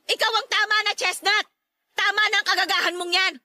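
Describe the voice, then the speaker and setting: A domineering middle-aged Filipino mother in a radio drama. Her voice is very high and sharp, scolding and nagging with scorn. The sound is close and dry. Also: fairly even intonation, brisk, no echo, heavily acted. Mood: angry, scornful. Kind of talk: Tagalog radio drama acting.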